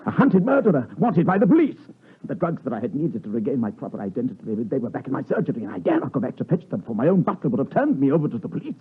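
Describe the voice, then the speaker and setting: A British man playing a tormented, guilt-ridden gentleman doctor in an impassioned, theatrical 1950s radio drama. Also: medium pitch, sing-song, racing, breathy edge. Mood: desperate confession. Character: tormented, guilt-ridden gentleman doctor fighting his evil other self. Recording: muffled vintage 1950s radio broadcast, music behind.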